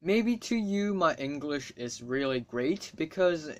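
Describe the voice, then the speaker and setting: A man's voice: a little high, lively intonation, a little slow, darkish tone, slight background noise. A teenage boy from Hong Kong whose first language is Cantonese talks casually to camera, rambling, swearing freely and making wry asides. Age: teens. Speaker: student vlogger.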